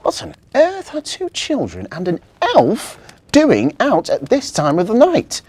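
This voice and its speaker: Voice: medium pitch, huge pitch swings, measured pace, darkish tone, slightly rough, no room sound, voice pushed up, full character voice. A storyteller voicing an astonished mother who exclaims in a children's Christmas story.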